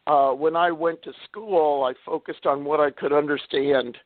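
A man, a little hoarse, chatting as a guest on a radio interview, laughing often and using many fillers. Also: on the high side, steady tone, moderate pace, dry recording. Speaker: guest author. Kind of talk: casual interview talk. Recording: tinny phone line.

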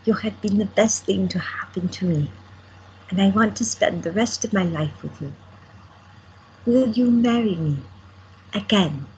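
A woman's voice: mid-range voice, unhurried, pauses often, a little mellow, lo-fi sound, a little hiss or hum, lightly acted. A woman playing an elderly woman who addresses the press and then proposes to her wife. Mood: tender. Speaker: cast member in an audio drama. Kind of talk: amateur audio drama acting.

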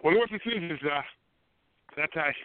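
A man doing an old Irishman with a put-on Irish lilt, insistent, confused, high voice that swoops wildly, tinny phone line. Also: lively intonation, moderate pace, smooth, dry recording, lightly acted. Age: older. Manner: insistent, confused, calls others "lassie". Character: gold-hungry old Irishman caller. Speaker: caller. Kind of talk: prank call. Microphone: telephone.

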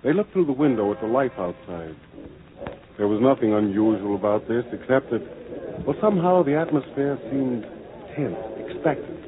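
A man's voice: mid-range voice, expressive, normal speed, close to an everyday voice. A man narrating a 1940s radio documentary drama in a grave, oratorical manner. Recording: noisy, tinny old radio broadcast.

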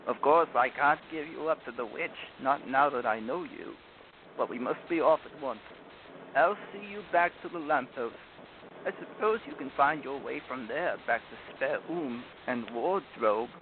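A man's voice: mid-range voice, natural voice. A man reading a storybook, voicing a guilty, plaintive fawn who confesses remorsefully. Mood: resolved, remorseful. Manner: plaintive, sobbing, drawn-out confessing. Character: guilty, tearful, remorseful male fawn with horns. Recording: tinny phone-like audio with loud noise.

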